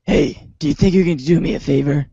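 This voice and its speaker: A performer playing a bemused young kid in a fan script reading, casual and deadpan. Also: medium pitch, fairly quick, slightly rough, dry recording, dull audio.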